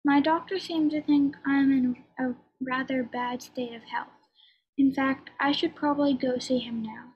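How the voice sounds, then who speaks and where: A woman's voice: high-pitched, steady tone, unhurried. An actress playing a reluctant, wry English lady of title in a radio play, dryly sardonic, in slightly dull sound. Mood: evasive, dry. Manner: dry, sardonic, evasive.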